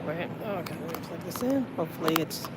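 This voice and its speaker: A woman's voice: sits low, animated, brisk. A woman livestreaming from a public building, chatting loosely and thanking viewers as she goes. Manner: rambling, thinks aloud, thanks viewers. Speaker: livestreamer filming city meetings. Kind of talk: casual livestream chat. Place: public building hallways and elevators. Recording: noisy phone stream.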